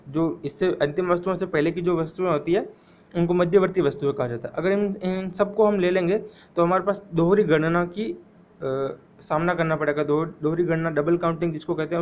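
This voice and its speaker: Man teaching economics, tinny sound. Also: high voice. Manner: repeats key phrases slowly for students to memorize. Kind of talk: exam-prep lecture.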